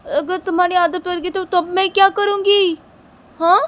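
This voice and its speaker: A woman's voice: mid-range voice, normal speed, pitched below the performer's own voice, lightly acted. A woman voicing a wealthy mother who is a firm boss, questioning sharply and reassuring her employee. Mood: irritated. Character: strict wealthy mother, female boss, firm but kind.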